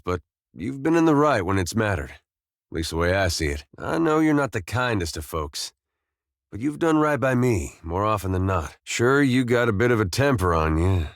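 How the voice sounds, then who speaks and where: A deep male voice, recorded very dry, a farmer turned adventurer in a video game. He is gentle and loyal, with some weariness of the world in him. He talks plainly and folksily, understating things with hesitant, dry humor. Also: very animated, normal speed, dry recording. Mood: wry, sincere. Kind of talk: video game companion dialogue.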